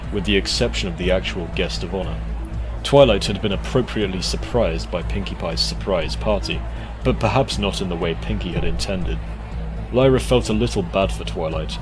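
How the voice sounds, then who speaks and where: A man reading a scripted story aloud as its narrator. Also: low-pitched. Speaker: fan fiction narrator.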